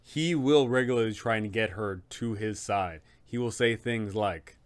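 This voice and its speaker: A man's voice: lowish voice, a little slow. A man hosting an informal, explanatory guide for game masters, emphatic and opinionated in how he talks. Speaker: tabletop game advice host.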